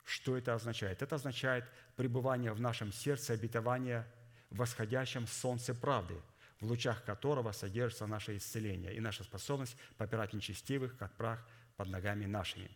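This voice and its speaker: A man delivering a sermon in a formal, didactic, deliberate way, his voice somewhat hoarse from a cold. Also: mid-range voice, steady tone. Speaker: preacher. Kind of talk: sermon with scripture readings and exposition.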